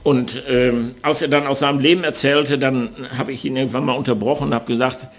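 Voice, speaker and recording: An older man from the Ruhr area speaking with a Ruhr German accent, preaching an earnest, measured sermon with frequent hesitations. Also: medium pitch, fairly even intonation.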